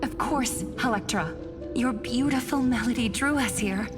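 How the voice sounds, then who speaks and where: An actress playing a steadfast, loyal sea siren in a fantasy game, speaking with formal, reverent assurance. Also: female voice, fairly high pitch, moderate pace, music in the background, lightly acted. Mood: eager. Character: steadfast, loyal female sea siren, devoted to her queen. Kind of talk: dramatic fantasy game acting.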